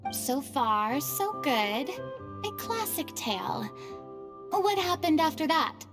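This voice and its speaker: A woman playing a vain, easily flustered young stage celebrity in a video game, with a dramatic, haughty, deliberate delivery. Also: high voice, very animated, heavily acted. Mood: curious, poised. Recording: music behind the voice.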